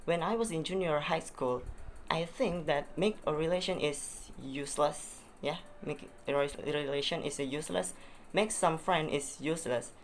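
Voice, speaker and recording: A man's voice: on the high side, moderate pace. A young man with an Indonesian accent speaks English as a second language in a casual vlog. He hesitates, fills gaps with "uh," and repeats phrases. A faint hum of noise sits behind his voice. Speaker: vlogger. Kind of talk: casual self-introduction to camera.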